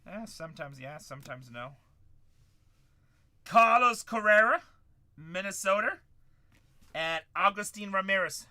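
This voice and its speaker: A man's voice: high voice, lively intonation, measured pace, long pauses, bright, forceful tone. A man in his forties hosting a live card-break stream, calling out cards and bantering with chat. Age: 40s.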